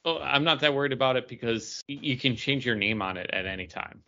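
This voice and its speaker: A man's voice: medium pitch, quick pace, bright, forceful tone, dull audio. A man co-hosting a podcast in casual, rambling, self-deprecating chat. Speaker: podcast co-host.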